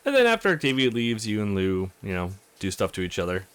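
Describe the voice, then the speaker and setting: Man with swooping, highly animated pitch. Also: quick pace, clean tone. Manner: acts out characters' lines with exclamations. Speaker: let's play host. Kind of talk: reading visual novel dialogue aloud with casual commentary.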